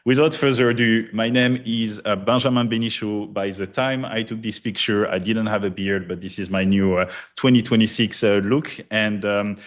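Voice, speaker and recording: A middle-aged man with a French accent gives a loose, energetic live presentation, full of fillers and casual cursing. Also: on the low side, normal speed, hardly any pauses. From France. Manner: loose, hype-driven, heavy filler words and cursing. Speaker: conference presenter, studio founder.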